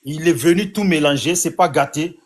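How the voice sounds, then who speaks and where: A man with a high voice talks in a heated online debate. He rambles and repeats himself, sounding flustered as he loses his thread. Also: moderate pace. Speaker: debate participant.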